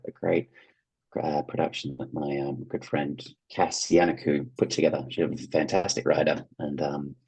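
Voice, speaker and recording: An Australian man who works as an actor, telling stories casually as an interview guest in an animated way. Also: very deep voice, breathy edge, rough recording. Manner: animated anecdote-telling, acting out quoted reactions.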